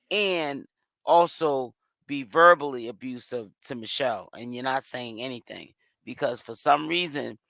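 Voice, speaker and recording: A man with a hoarse voice, hosting and giving casual gossip commentary. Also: medium pitch, bright, forceful tone, no echo. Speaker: commentary host.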